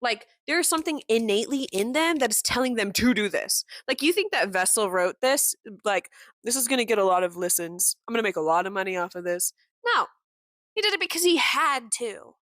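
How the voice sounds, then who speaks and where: A young woman hosting her own podcast, with a warm voice that is deeper than most women's, talking candidly and with passion, full of "like" fillers and the odd swear word. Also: lively intonation, brisk, no echo. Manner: candid and impassioned, with frequent "like" fillers and mild swearing. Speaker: podcast host. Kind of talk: casual personal monologue.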